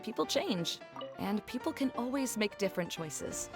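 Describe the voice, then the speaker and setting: A woman's voice: mid-range voice, big pitch swings, moderate pace, music in the background. A woman playing a caring, level-headed young adventurer in a video game, speaking in an encouraging, explanatory way. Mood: thoughtful.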